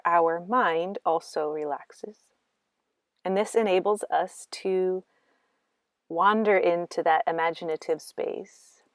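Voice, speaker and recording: Low-pitched woman guiding meditation. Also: dry recording, clean studio sound. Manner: slow, gentle instruction with long pauses. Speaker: meditation guide. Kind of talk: guided meditation narration.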